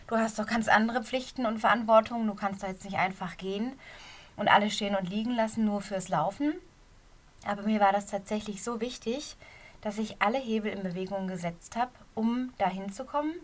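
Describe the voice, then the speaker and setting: A middle-aged woman with a slight cold and a somewhat hoarse voice, a running coach giving a casual, earnest podcast talk from a quiet corner of her bedroom. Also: medium pitch, brisk. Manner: earnest, encouraging, talks straight to the listener.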